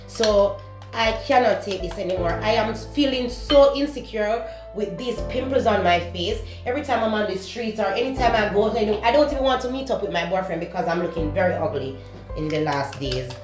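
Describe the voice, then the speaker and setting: A young woman with a Jamaican accent, whose first language is Patois, talks candidly to the camera in her home kitchen. She often pauses to search for words. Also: mid-range voice. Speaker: beauty vlogger. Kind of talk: casual talk-to-camera beauty vlog. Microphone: camera close to the face. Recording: echoey kitchen with background music.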